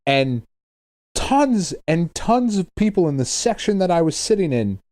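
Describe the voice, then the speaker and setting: A man in middle age with a very animated voice that moves widely in pitch. He talks like a podcast co-host in loose, casual chat, emphatic and irreverent, with plenty of swearing. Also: unhurried.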